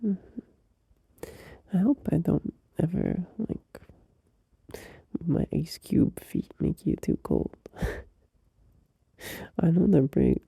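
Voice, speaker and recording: Male performer as a tender young boyfriend at night. Slow, breathy whisper with gravelly fry, close and dry. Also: on the high side, wildly swooping pitch, no room sound, full character voice. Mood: tender, sleepy. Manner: soft drowsy whisper, unhurried, with small laughs. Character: gentle, loving young boyfriend soothing a partner at night. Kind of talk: ASMR audio roleplay. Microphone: close mic.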